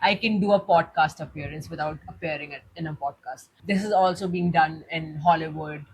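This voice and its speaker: A woman's voice: sits low, a little fast, slight background noise, low-quality recording. Young Indian woman explaining casually. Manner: chatty, leans on "like" while explaining. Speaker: tutorial host. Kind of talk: casual step-by-step demo.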